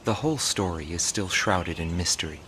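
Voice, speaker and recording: An actor playing a serious investigative narrator, delivering measured, grave documentary narration for a video game. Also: male voice, deep voice, a little hiss or hum. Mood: grave, factual. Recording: loud guitar music behind the voice. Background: loud guitar music.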